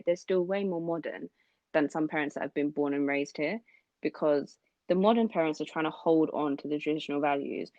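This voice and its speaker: A woman's voice: low-pitched, darkish tone, no room sound. Young woman co-host, muffled dry sound. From London. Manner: agreeable, frequent "mm-hmm" backchannels. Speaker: podcast co-host. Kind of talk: casual podcast chat.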